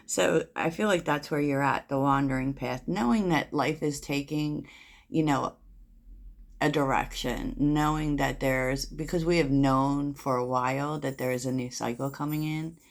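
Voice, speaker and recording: A woman with a soft voice giving a casual tarot reading, chatty and rambling with many fillers, often laughing at her own jokes. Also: low voice, gravelly. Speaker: tarot reader.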